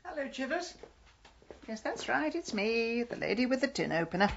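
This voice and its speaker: A muffled, slightly noisy radio drama recording of a haughty middle-aged English village woman. Her voice is on the high side and swoops expressively, with a dry, tart delivery. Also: very animated, normal speed, creaky. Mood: fond, wry.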